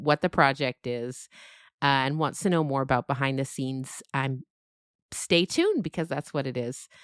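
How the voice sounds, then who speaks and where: A woman's voice: low voice, fairly bright tone, smooth, dry recording, studio quality. A woman podcast creator chatting casually and informally, as if to friends. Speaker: podcast creator and host, being interviewed. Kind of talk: casual chat.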